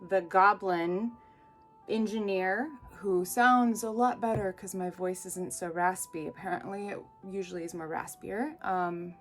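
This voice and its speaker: A woman's voice: fairly low pitch, fairly bright tone. A woman player retelling advice, hesitant and searching for words with many restarts. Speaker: tabletop game player.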